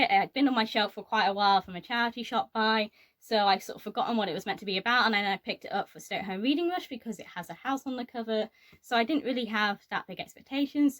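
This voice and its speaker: A woman's voice: a little high, a bit bright. A young woman giving her opinions on books in a casual, explanatory chat to camera. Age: young adult. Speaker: book reviewer.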